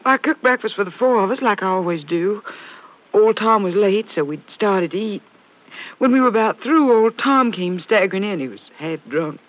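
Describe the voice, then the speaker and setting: An actress playing an emotional, defensive ranch wife with halting, plain rural speech in a 1950s radio drama. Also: female voice, medium pitch, lively intonation, close to an everyday voice. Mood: upset. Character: distraught, defensive ranch wife, newly widowed. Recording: muffled old radio recording.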